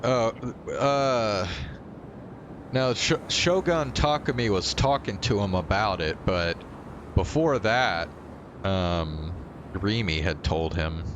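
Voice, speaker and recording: A man's voice: on the low side, takes it slowly, background noise. A male streamer reading a visual novel aloud and talking to viewers as he goes. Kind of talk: live read-aloud narration of a visual novel.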